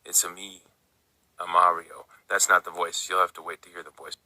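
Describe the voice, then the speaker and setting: A man's voice: deep voice, flat delivery, normal speed, bright, forceful tone, soft background music, poor audio. A man speaking in a clip of dialogue.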